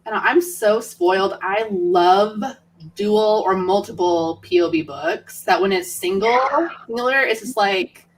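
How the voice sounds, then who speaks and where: A woman chatting casually about books in a halting, tentative, informal way, as co-host of a livestream. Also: mid-range voice, poor audio. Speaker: co-host of a book readalong livestream.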